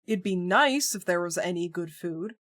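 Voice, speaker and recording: A woman's voice: on the low side, lively intonation, normal speed, a bit bright, no echo, studio quality. A woman streamer narrating a visual novel and chatting aloud between lines. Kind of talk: game stream commentary and narration.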